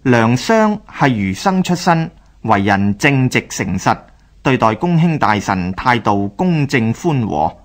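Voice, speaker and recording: A man with a Hong Kong Cantonese accent narrates a scripted historical radio drama in a measured, formal storyteller's manner. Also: medium pitch, very animated.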